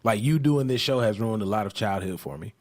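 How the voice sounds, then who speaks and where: A man's voice: fairly low pitch, a little fast, dry recording. A man in casual, joking podcast banter, slangy and sweary. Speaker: podcast panelist.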